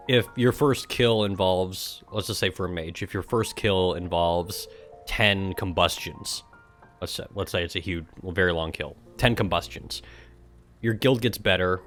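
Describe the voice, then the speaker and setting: A man's voice: low voice, fairly bright tone. A man streaming casually, reading aloud and adding sarcastic, exasperated commentary, with swearing. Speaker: streamer and gaming guild member.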